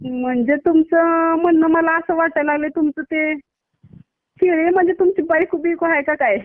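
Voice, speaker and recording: A woman's voice: very high-pitched, steady tone, normal speed, dry recording. A woman with a Maharashtrian Marathi accent, a doctor speaking bluntly in a casual phone conversation. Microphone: phone call. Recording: tinny narrowband phone line.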